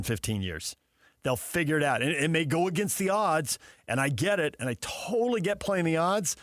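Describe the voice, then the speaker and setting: A man's voice: mid-range voice, dry recording. A man hosting casual sports talk radio, conversational and given to banter, close on a studio microphone.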